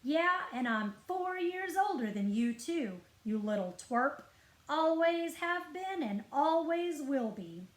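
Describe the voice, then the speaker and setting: A woman's voice: high voice, big pitch swings, slow, voice pushed up, full character voice. A woman voicing a smug older brother, a rotten, teasing boy in a read-aloud storybook, with a sneering, taunting manner. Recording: roomy, echoing indoor sound.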